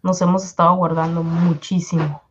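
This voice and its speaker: A woman's voice: on the low side, little pitch movement, moderate pace, dull audio, a crowd in the background. A woman co-hosting an online show, chatting casually with viewers and her fellow host. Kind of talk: casual live-stream chat. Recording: clear background noise, clicks.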